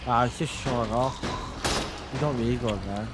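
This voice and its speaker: A man playing a co-op video game, chatting casually and exclaiming excitably. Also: mid-range voice, normal speed. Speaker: gamer playing co-op in a walkthrough video. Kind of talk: casual gameplay chat. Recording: loud game noise behind voice. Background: video game sound effects.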